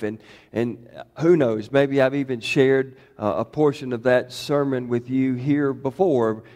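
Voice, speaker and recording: A middle-aged man, a pastor, preaching a chatty sermon in a church, his voice a bit hoarse from shouting, full of fillers and restarts. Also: mid-range voice. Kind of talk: conversational sermon. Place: church sanctuary. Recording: long reverb of a church hall.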